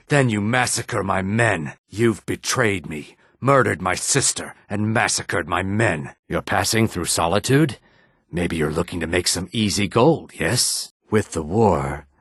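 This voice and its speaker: Very deep husky male lizard-folk adventurer, calculating, measured, dry close sound, game dialogue. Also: fairly bright tone, dry recording, full character voice. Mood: vengeful. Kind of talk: video game character dialogue.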